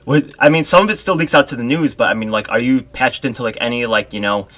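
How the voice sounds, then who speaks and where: A man's voice: mid-range voice, fast, a bit bright. Chatty man hosting a casual interview. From Korea. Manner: chatty, laughs often, acts out quoted voices. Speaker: podcast host.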